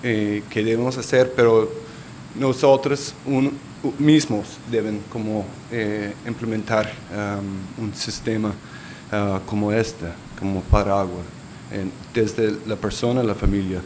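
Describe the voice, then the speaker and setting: A man with a Colombian Spanish accent presenting at a conference panel, halting and searching for words. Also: fairly low pitch, fairly even intonation, darkish tone, slight background noise, poor audio.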